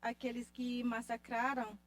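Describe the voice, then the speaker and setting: High-voiced, slow, halting woman, non-native Portuguese. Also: level tone, slight background noise. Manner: halting, searching for words. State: nervous. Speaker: singer presenting a song of their people. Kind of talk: informal spoken introduction to a live audience.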